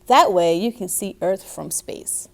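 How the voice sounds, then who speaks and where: A woman's voice: on the low side, animated, a little slow, gravelly. A woman voicing a loving mother in a storybook, patient and reassuring. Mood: encouraging. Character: loving, encouraging mother of a little girl.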